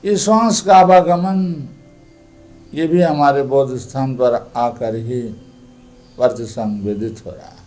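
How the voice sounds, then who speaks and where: A man leads a guided meditation as a teacher, speaking in a calm, deliberate, instructive manner. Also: medium pitch, soft background music.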